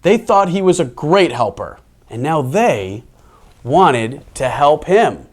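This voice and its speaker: A man reading a children's picture book aloud, playful and expressive, dropping into dry, deadpan asides. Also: a little high, slow pace. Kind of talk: animated storybook reading with wry asides.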